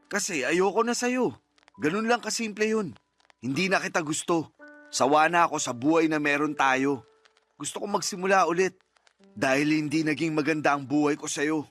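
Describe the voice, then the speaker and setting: A man's voice: a little high, moderate pace, fairly bright tone, soft background music, close to an everyday voice. A man playing a callous, selfish partner in a radio drama, speaking in a cold, blunt, dismissive manner. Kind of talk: Tagalog radio drama acting.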